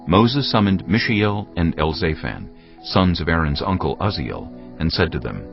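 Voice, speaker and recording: A man with a very low voice narrates scripture solemnly and deliberately, with lively rises and falls for emphasis. Prominent music plays beneath him. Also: creaky, lo-fi sound. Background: prominent background music.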